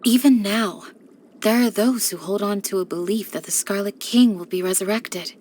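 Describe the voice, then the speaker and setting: A woman voicing a calm, devoted young desert village guardian in a video game, speaking in a composed, measured, gracious way with formal phrasing. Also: mid-range voice, natural voice. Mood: solemn. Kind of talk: scripted video game character voice lines.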